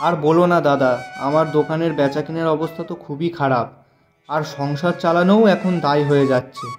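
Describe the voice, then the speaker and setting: A man acts a poor but honest village shopkeeper in a cartoon tale. His voice is on the high side, gentle and pleading, with light music behind. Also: a little fast, lightly acted. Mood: weary. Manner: earnest, pleading. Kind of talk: cartoon voice acting.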